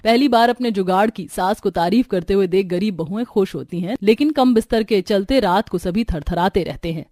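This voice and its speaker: A woman's voice: medium pitch, normal speed, warm tone, no echo. A woman narrating a scripted cartoon story.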